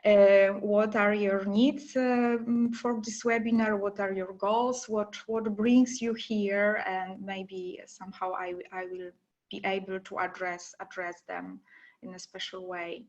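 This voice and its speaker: A woman with a Polish accent presents informally on a webinar. Her delivery is halting, with repeated words and searching pauses. Her pitch is average for a woman, and the call audio is dull and lo-fi. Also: little pitch movement, a little mellow. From Poland. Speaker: webinar presenter, trainer and academic teacher. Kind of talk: informal lecture to an online audience.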